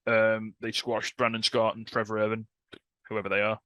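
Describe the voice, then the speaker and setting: A man's voice: lowish voice, monotone, normal speed, bright, forceful tone, dry recording. A man hosting a podcast, chatting casually about news in an informal, dryly humorous, sweary way. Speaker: podcast host. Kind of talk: casual wrestling news chat.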